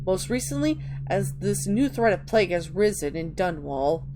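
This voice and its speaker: A woman's voice: lowish voice, sing-song, normal speed, warm tone, slight background noise. A woman hosting a gaming video, reading in-game texts aloud and commenting casually as she plays.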